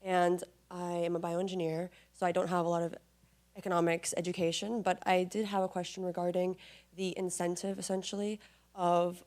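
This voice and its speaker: A young woman of Moroccan origin, an audience member asking a polite, measured question at a panel. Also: fairly low pitch, a little mellow.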